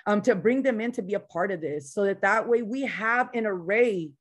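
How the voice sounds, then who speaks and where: A woman's voice: medium pitch, moderate pace. A woman veteran organizer with a slight Spanish-influenced accent, speaking passionately and bluntly in a casual online panel discussion.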